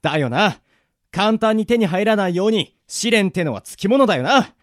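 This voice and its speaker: Man acting a brash high-voiced young fantasy hero. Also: animated, moderate pace, no room sound, clean studio sound. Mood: confident. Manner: brash, casual rough speech. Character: reckless, hot-headed young male fantasy hero leader. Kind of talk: comedic radio drama acting.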